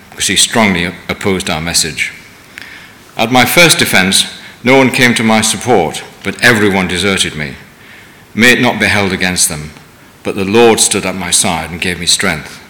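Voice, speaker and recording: A man reading scripture aloud in church, measured with occasional stumbles. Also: deep voice, sharp tone. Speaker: scripture reader. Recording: distant mic in reverberant hall.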